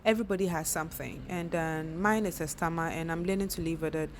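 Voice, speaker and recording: A young woman talks candidly to the camera in a personal vlog. Her voice sits slightly low. She is reflective and now and then stammers or restarts a phrase, with a faint background hum. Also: steady tone, quick pace.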